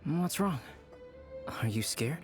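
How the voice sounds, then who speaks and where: A man playing a calm, enigmatic young alchemist in a video game, telling a dark tale in a measured, articulate, detached way. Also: on the high side. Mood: teasing. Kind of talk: scripted story narration in a video game. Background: game music, faint.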